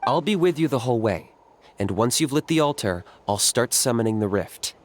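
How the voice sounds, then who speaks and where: A man voicing a cool, businesslike young warrior guide in a video game, matter-of-fact and measured. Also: medium pitch, animated, a little hiss or hum, natural voice. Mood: instructive. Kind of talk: video game character dialogue.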